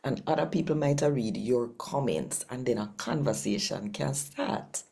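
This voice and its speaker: A woman with a Jamaican accent speaking deliberately as a host and scripture reader. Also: rumbling low, animated, creaky, warm tone. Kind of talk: channel talk and expressive Bible reading.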